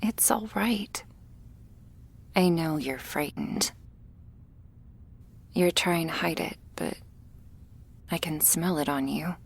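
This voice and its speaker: Woman doing a shy awkward vampire girl, asmr roleplay, super slow n hesitant, soft with lots of vocal fry. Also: sits low, full character voice. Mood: soothing. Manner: hesitant, halting, soft, often near a whisper. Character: shy, awkward, gentle young female vampire.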